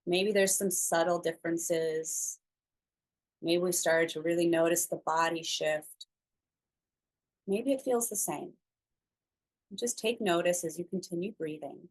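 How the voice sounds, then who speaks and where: A woman instructor leading a slow guided breathing meditation, speaking softly and soothingly with long pauses between phrases. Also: fairly low pitch, low-quality recording.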